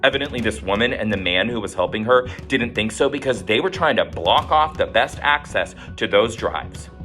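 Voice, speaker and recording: Guy doing a petty indignant neighborhood driver, mock-dramatic reading, very animated, bit of music behind. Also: lowish voice, moderate pace. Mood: reasoning, aggrieved. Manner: theatrical, aggrieved, emphatic. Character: indignant, self-righteous suburban driver airing a petty grievance.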